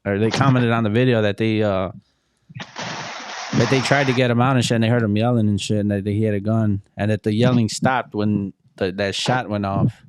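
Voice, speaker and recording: A man's voice: on the low side, steady tone, a little fast, a little mellow, clear, resonant tone. A man chatting casually, with heavy slang and swearing like a vlogger talking things over. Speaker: vlogger interviewing a witness.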